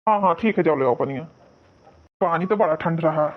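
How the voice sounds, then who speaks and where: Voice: mid-range voice, lively intonation, fairly quick, a little hiss or hum, close to an everyday voice. A voice actor playing a hard-up, plain-spoken working husband in a cartoon family comedy, matter-of-fact, with an Awadhi accent. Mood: casual. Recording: dull, lo-fi muffled sound.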